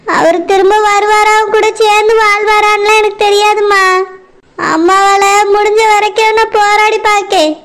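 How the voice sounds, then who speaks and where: A woman voicing a patient mother who gently consoles her crying daughter with steady advice. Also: very high voice, normal speed, slight background noise. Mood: consoling.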